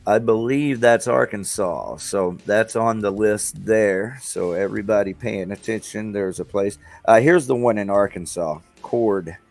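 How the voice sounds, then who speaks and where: Middle-aged guy rambling excitedly about local gigs, lowish voice. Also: unhurried, vocal fry, hardly any pauses. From Texas. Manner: rambling, enthusiastic, with fillers and restarts. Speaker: host of a local music show. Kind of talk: casual livestream chat.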